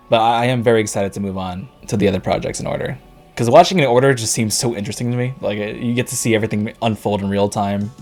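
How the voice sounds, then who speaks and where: A man casually reviewing movies, rambling and correcting himself, his voice worn and going hoarse. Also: mid-range voice, darkish tone. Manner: rambling, self-correcting, laughs often. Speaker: YouTube reviewer.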